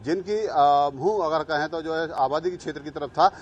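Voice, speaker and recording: A man giving an unscripted live news report, halting and long-winded as he searches for words. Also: a little high, muffled recording, slight background noise.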